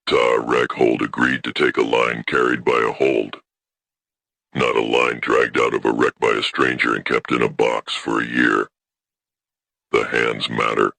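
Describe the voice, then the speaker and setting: A man playing an old, weary alien elder who speaks in solemn, stern, formal declarations with a gravelly creak, in a science fiction audio story. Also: a bass voice, sharp tone, no echo, full character voice.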